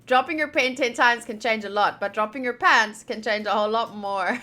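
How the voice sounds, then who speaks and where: A young woman gives casual commentary on a game as she plays. Her voice is bright and fairly high. She is chatty and playful, moving between reading dialogue aloud and rambling asides. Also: normal speed. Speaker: streamer.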